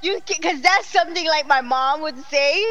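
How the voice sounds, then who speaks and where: A middle-aged woman hosting a casual online talk show, animated and exclaiming, laughing often. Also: high voice, moderate pace, dull audio. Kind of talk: casual live-stream chat.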